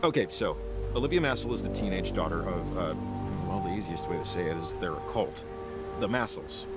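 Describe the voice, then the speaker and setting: An actor playing an anxious, talkative man in a video game, speaking in a halting, exasperated, rambling way. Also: sits low, animated, over loud music. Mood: gossipy, hesitant. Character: anxious, hesitant, talkative local man named Jacob. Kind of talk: naturalistic video game dialogue.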